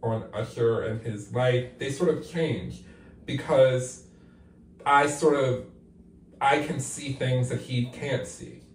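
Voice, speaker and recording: A man's voice: medium pitch, takes it slowly, slight background noise. A man in his forties, a playwright being interviewed, talking in a thoughtful, reflective way with lots of "like" fillers while sitting in a bathtub. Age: 40s. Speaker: guest playwright. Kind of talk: candid interview talk. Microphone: far camera. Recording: echoey bathroom, mic at a distance.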